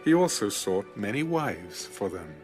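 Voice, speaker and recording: A male narrator delivers solemn scripture at an unhurried pace. His pitch rises and falls expressively, and soft music plays behind him. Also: big pitch swings. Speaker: Bible audiobook narrator. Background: background music.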